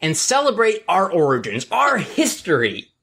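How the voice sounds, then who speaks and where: A man's voice: sits high, wildly swooping pitch, normal speed, creaky, fairly bright tone, slightly rough. A man co-hosting a casual podcast over an online call, explaining things in a rambling, playful, mock-serious way. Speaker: podcast host. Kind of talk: casual group chat.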